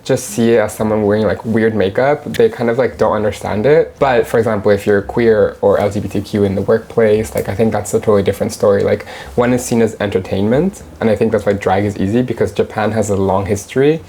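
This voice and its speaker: A woman's voice: a bass voice, fast talker, hardly any pauses, mellow, breathy edge. A woman in her thirties, from Mexico and raised in Canada, talking candidly and chattily as an interview guest at her home. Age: 30s. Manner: chatty, candid, laughs easily. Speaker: interview guest, drag performer. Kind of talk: casual interview.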